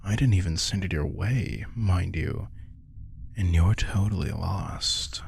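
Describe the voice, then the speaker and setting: A man voicing a possessive, teasing vampire in an intimate audio roleplay, smug and softly commanding. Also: sits low, slow pace, vocal fry, a little hiss or hum, exaggerated voice. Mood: hushed, smug. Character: possessive, teasing male vampire with hypnotic powers. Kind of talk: intimate scripted audio roleplay addressed to the listener.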